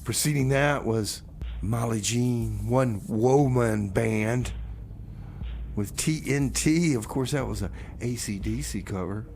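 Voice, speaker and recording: Male community radio host, medium pitch, casual and folksy, halting rambles, faint background noise. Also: vocal fry, darkish tone. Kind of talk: casual rambling music-show DJ talk.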